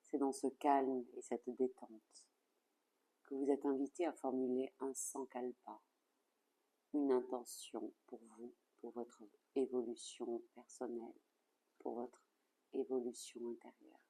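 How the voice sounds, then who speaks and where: A yoga nidra instructor leading a guided relaxation in a calm, soothing, measured way with soft phrase endings. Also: medium pitch, poor audio.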